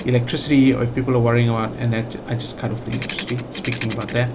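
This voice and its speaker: A man's voice: mid-range voice, little pitch movement, rapid-fire, background noise. A man with a South African accent giving casual let's play commentary, thinking aloud with lots of fillers and restarts. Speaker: gaming channel host.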